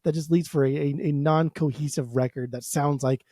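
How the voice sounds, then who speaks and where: Brief: male podcast co-host, mellow slightly high voice, teasing and argumentative, dry sound. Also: fairly even intonation, brisk, no room sound. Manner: teasing, combative and jokey. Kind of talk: casual podcast chat.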